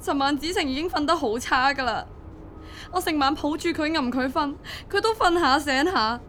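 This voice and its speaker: A woman's voice: fairly high pitch, expressive, normal speed, faint music behind, natural voice. A woman acting a burdened, devoted young single mother in a radio drama, emotive and confiding, with a Hong Kong Cantonese accent. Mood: distraught.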